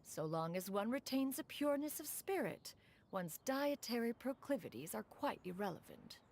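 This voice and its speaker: A woman's voice: fairly high pitch, big pitch swings, normal speed. An actress voicing a dignified ancient female adeptus who speaks in formal, old-fashioned phrasing. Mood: dismissive. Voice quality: formal, archaic speech. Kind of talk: game voice acting. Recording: game audio over loud music.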